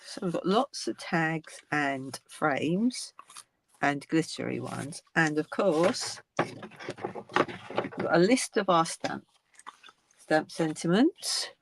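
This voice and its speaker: An older woman with a croaky, gravelly voice talks slowly through a craft demonstration. She unpacks a card kit in a chatty, unhurried way, naming each piece as she goes. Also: low voice, lively intonation, noisy background. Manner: chatty, unboxing items one by one. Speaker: craft video host.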